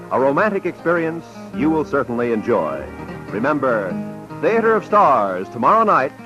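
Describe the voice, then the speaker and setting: American man, 1950s radio announcer, formal, promotional, lively intonation, dull old broadcast sound, music behind. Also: fairly high pitch.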